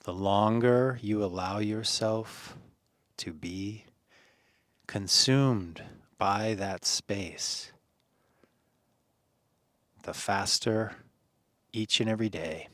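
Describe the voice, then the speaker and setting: Male meditation guide, soothing and earnest, very unhurried delivery, close dry sound. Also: on the low side, fairly bright tone, no room sound. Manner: soothing, earnest, deliberate phrasing with pauses. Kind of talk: guided meditation, gentle encouragement.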